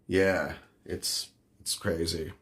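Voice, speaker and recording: A man's voice: sits low, steady tone, slow, background noise. A man from the northern United States, a podcast host rambling casually with lots of fillers. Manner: rambling, filler-heavy, offhand. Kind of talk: casual chat answering chat.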